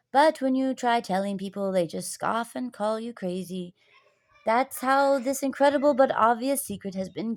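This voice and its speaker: Casting: jovial old grandfather for a children's storybook female reader, earnest, deliberate, explanatory delivery. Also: fairly high pitch, creaky.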